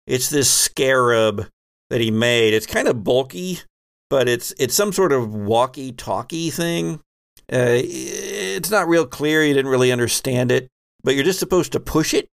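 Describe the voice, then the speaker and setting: Man narrating a tabletop game, halting delivery. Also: mid-range voice, expressive, vocal fry. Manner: halting, pauses mid-sentence to find words. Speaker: game master and host.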